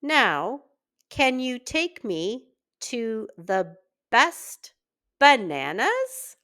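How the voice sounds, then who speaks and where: A greedy storybook spider with a big appetite , played by a woman reader. He makes his demands very slowly, in a clear, pleading voice that swings up and down in pitch. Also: sing-song, fairly bright tone, heavily acted. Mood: wheedling, greedy. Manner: slow, pleading, exclaiming when in trouble.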